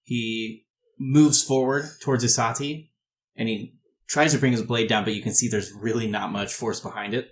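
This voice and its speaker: A man's voice: medium pitch, steady tone, moderate pace, a little hiss or hum. A man improvising descriptive narration as game master of a tabletop game.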